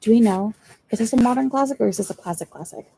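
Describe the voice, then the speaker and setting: A woman's voice: on the high side, quick pace, vocal fry, dark tone, a little hiss or hum, rough recording. A woman who is not a native English speaker, chatting casually and thinking aloud during a live reading session at home. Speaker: book streamer. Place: at home, seated in a chair.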